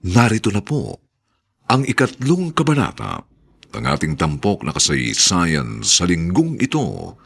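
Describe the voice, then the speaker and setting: A Filipino man introduces a radio drama with theatrical solemnity. His delivery is slow and swings dramatically in pitch. The voice is gravelly. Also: huge pitch swings, fairly bright tone. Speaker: drama announcer and narrator.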